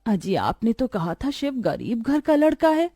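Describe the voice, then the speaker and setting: A woman voicing an excitable, homely village wife in a cartoon story, exclaiming in amazement. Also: a little high, unhurried, no room sound, clean studio sound, full character voice. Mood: astonished. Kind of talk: Hindi cartoon story acting.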